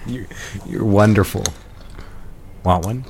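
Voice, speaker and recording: An actor playing a suave, secretive fiancé in an intimate audio drama, coaxing and full of endearments. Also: male voice, lowish voice, slow, gravelly, darkish tone, a little hiss or hum. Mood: tender. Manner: coaxing, endearment-laden speech. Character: suave, ardent male fiancé, sweet-talking and secretive.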